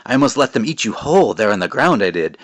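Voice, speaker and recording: A man voicing a raspy, sinister faceless witch in a storybook reading, purring over the word "darling.". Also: mid-range voice, very animated, fast talker, no echo, pitched above the performer's own voice. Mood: purring menace.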